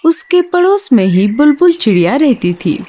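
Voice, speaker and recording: A woman's voice: sits high, sing-song, normal speed, warm tone, slight background noise. A woman giving scripted narration for a children's story.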